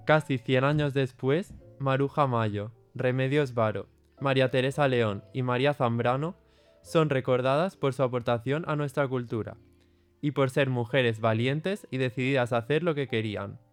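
A man's voice: mid-range voice, moderate pace. A man narrating an audio drama in a solemn, literary storytelling manner. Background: music.

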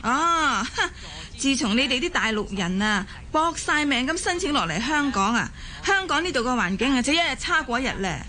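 A woman's voice: high voice, very animated, moderate pace, bright, forceful tone, full character voice. A woman acting a nagging, scornful Hong Kong housewife in a Cantonese radio drama, scolding and sarcastic. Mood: sneering. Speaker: voice actor in an audio drama.

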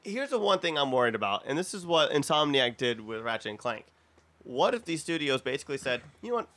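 A man with a slightly high, very bright and forward voice co-hosts a casual podcast panel. He argues his opinions freely and drops swear words as he goes. The delivery is conversational and unscripted. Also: sing-song, a little fast. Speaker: podcast co-host.

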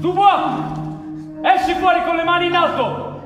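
An actor playing a forceful police officer in a radio play, barking commands at full volume. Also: male voice, very high-pitched, unhurried, heavy reverb, in a large hall, over music. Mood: commanding, urgent.

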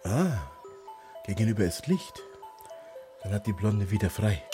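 A man's voice: on the low side, expressive, unhurried, music in the background. A man acting in a German radio drama as an anxious young Ghanaian man living in hiding, breathless and muttering to himself. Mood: idle, murmuring.

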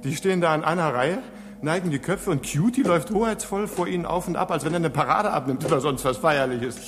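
A man's voice: fairly high pitch, fast, a bit bright, slight background noise, close to an everyday voice. A man playing a hot-tempered, sarcastic space station engineer in a German radio drama, with a blustering, exclamatory manner. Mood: scornful amusement. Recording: echoey hall with long reverb.

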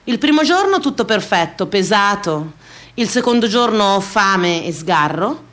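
An actress playing a wry, self-mocking woman eternally on a diet, declaiming in a comic theatrical radio monologue with dramatic pauses. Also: medium pitch, big pitch swings, fairly bright tone. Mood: self-mocking, rueful. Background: music.

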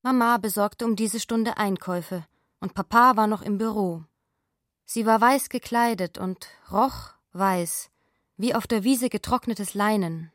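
A woman's voice: medium pitch, unhurried, lightly acted. An actress playing a proud, nervous, headstrong young woman of twenty-three in a literary radio drama. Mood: pointed, restless.

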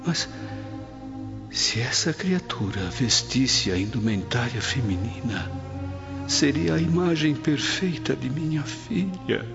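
Ageing Roman father, weary and grave, theatrical radio-play style, deliberate pace, muffled recording. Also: mid-range voice, animated, vocal fry. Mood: awed, puzzled. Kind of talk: dramatised radio play acting. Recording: dull lo-fi audio under loud music.

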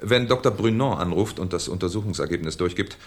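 An actor playing a shrewd, courteous French police commissioner with dry irony in a 1970s German radio play. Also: male voice, deep voice, natural voice. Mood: wry, commanding. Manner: polite, dryly ironic, unhurried questioning. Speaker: actor in a radio crime drama.

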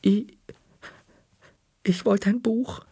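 A man's voice: steady tone, drawn-out words, gravelly, mellow, slightly rough, no room sound, clean studio sound, full character voice. A man voicing a frightened young schoolboy who stammers with a trembling voice. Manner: stammering, high-pitched.

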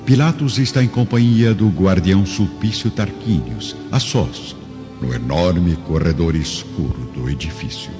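A man's voice: deep voice, a little slow. A man narrating a radio drama from a script in a solemn, theatrical manner. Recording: dull recording under music.

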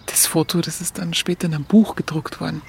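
An actress playing an earthy woman, recalling wartime Munich in halting, colloquial radio play speech. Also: sits low, fairly even intonation, vocal fry, a little mellow, a little hiss or hum. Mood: wistful. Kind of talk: naturalistic radio play reminiscence.

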